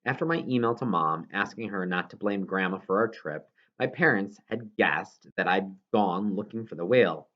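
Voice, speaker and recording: A man's voice: low-pitched, expressive, normal speed, hardly any pauses, lo-fi sound. A man reading a children's novel aloud as scripted narration, now and then stumbling over a word. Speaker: audiobook reader.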